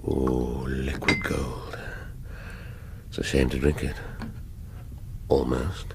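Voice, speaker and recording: An actor with an English accent playing a brooding middle-aged police detective in a radio drama, musing in clipped half-sentences. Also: male voice, very deep voice, level tone, slow, creaky, heavy background noise, heavily acted. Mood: musing, muttering.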